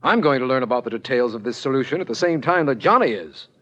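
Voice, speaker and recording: A lively, swinging male voice from an actor plays a blunt official in a 1940s radio crime play. He is brisk, firm and wry, heard on a muffled old recording. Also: mid-range voice, a little hiss or hum, close to an everyday voice. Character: brisk, no-nonsense male official, the detective's ally. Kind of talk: 1940s radio drama acting. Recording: dull vintage radio recording.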